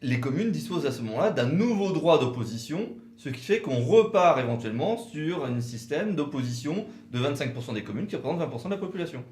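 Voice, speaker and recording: A man's voice: a little high, animated, fast, few pauses, darkish tone. Man, legal co-presenter, methodical and instructive, varied intonation, reverberant room. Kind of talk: explanatory legal video talk, semi-scripted. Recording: roomy, echoey room sound.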